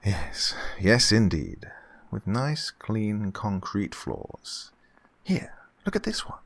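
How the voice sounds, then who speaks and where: A man's voice: fairly low pitch, big pitch swings, slow, vocal fry, exaggerated voice. A man acting a suave, gloating murderous employer in a horror radio play, with oily politeness and fussy, menacing repetition. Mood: creepy, gloating. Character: suave, gloating male employer who murders his housekeeper.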